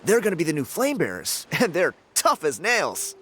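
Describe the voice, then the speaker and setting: Young male tribesman, game dialogue, enthusiastic, emphatic, higher-pitched, faint noise behind. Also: wildly swooping pitch, moderate pace, a bit bright. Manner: emphatic, effusive. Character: friendly, enthusiastic young tribesman, loyal to his friends. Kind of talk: video game voice acting.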